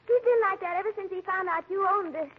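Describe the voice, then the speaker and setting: A woman's voice: extremely high pitch, moderate pace. A woman playing a polite, hospitable young niece in a 1940s radio comedy style. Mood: apologetic. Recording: dull, hissy 1940s radio recording.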